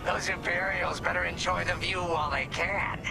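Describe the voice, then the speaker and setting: An actor playing a cocky fighter pilot who wisecracks and barks orders during a battle. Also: male voice, sits high, steady tone, normal speed, creaky, heavy background noise. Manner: brash, wisecracking. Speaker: starfighter pilot. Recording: loud game music behind.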